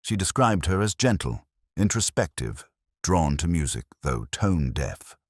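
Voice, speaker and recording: Very deep, husky male story narrator. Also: big pitch swings, unhurried, no echo, studio quality. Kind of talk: scripted first-person detective story narration.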